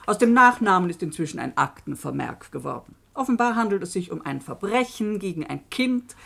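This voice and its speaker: An actress playing a composed, authoritative female doctor in a radio play, speaking deliberately. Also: fairly low pitch, sing-song, gravelly, a bit bright, breathy edge, exaggerated voice. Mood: composed, insistent. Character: composed, commanding female doctor leading a hearing of documents. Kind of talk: German radio play acting.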